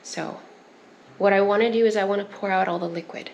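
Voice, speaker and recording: Young woman homestead vlogger, mid-pitched, relaxed chatter, recorded at a distance in an echoey home kitchen. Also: fairly quick, vocal fry. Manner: chatty, laughs easily, coughs at pepper fumes. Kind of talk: casual cooking demonstration. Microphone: wide camera on the counter. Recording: echoey kitchen with background noise.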